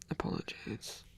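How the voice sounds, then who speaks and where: A man playing a wary, self-doubting shapeshifting lover in an intimate ASMR roleplay, speaking haltingly in a breathy voice that often drops toward a whisper. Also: slight background noise. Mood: guarded, suspicious, then apologetic, hesitant. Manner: hesitant, halting, sighing, softly self-deprecating.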